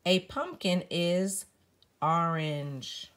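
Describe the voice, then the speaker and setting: A woman's voice: lowish voice, animated, very slow, fairly bright tone, exaggerated voice. A woman voicing helpful, matter-of-fact farm animals from a children's storybook, speaking in an emphatic, instructive way. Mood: firm, helpful.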